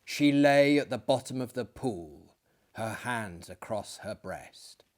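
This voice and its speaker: A man voicing a weary, haunted friend in a dramatic storybook reading, speaking gravely and deliberately. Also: mid-range voice, animated. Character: weary, haunted male friend recounting deaths.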